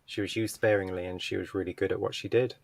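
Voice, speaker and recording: A man's voice: sits low, fairly even intonation, quick pace, vocal fry, no room sound. A man co-hosting a podcast, chatting casually in a book club discussion. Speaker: podcast co-host.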